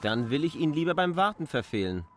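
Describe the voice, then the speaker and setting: A man's voice: on the high side, big pitch swings, brisk, a little hiss or hum. An actor playing a stubborn, insistent man who stands his ground in a literary radio drama. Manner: obstinate, insistent.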